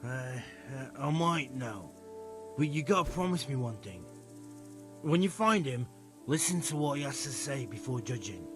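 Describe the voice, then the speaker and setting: An actor voices an earthy stable worker in a medieval game. He is folksy, hesitant and earnest, with a mid-pitched, expressive voice over faint music. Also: moderate pace, lightly acted. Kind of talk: conversational video game cutscene acting.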